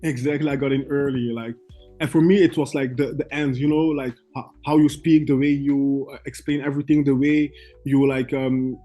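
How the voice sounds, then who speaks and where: A young man from Belgium, a guest trader, telling his story in halting English full of fillers and restarts. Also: mid-range voice, little pitch movement, warm tone, faint music behind. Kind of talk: casual interview chat.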